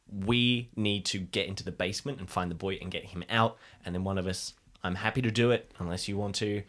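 A man's voice: on the low side, natural voice. A man playing an eager, flustered park ranger in an improvised audio drama, earnest and prone to repeating himself.

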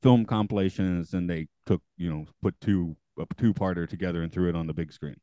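A man hosting a podcast in casual chat, with a morning gravel in his voice, chatty and quick to laugh at himself. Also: sits low, steady tone, warm tone, no echo. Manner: chatty, self-deprecating, laughs often. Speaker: podcast host.

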